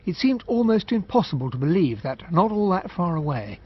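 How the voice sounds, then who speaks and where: A reflective English captain narrating a radio drama memoir. His voice sits a little high. The sound is dull, with some faint background noise. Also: sing-song, brisk. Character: English army captain, older self narrating memoir wistfully. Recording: muffled, noisy lo-fi radio drama.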